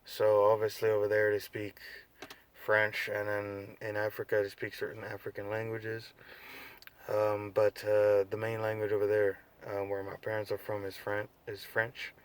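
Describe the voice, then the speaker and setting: Tired, rambling young man, low flat voice. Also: moderate pace, clear, resonant tone. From United States. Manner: laid-back, rambling, many fillers and restarts. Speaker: vlogger. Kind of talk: unscripted casual vlog.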